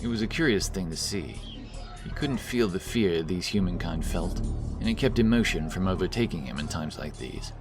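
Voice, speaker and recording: A man narrating a scripted fantasy audiobook in a measured, dramatic way. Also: low voice, lively intonation. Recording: music bed under voice.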